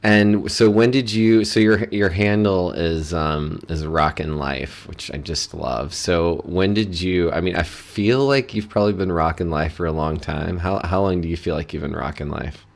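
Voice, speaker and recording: A man's voice: low voice, steady tone, normal speed, creaky, hardly any pauses. A man hosting an interview, asking questions in an encouraging way and often restarting them. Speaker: podcast host. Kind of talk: casual interview chat.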